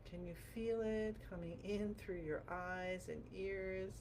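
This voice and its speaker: A woman with a gravelly voice guiding a qigong class through movement and meditation in a gentle, encouraging, informal way. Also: medium pitch, fairly even intonation, slow. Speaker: qigong teacher. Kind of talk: guided movement and meditation instruction. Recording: clearly noisy background.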